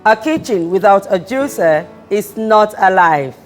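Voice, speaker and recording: A woman's voice: medium pitch, animated, measured pace. Cameroonian woman hosting, music behind. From Northwest region of Cameroon. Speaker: TV talk show host. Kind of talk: conversational interviewing. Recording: music in the background.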